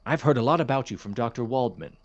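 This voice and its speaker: An actor playing a courteous, dismissive chemistry professor in an audio drama, speaking in a formal, measured, gently condescending manner. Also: male voice, mid-range voice, lively intonation, highs cut off, close to an everyday voice. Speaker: substitute lecturer. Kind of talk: audio drama, lecture and office talk.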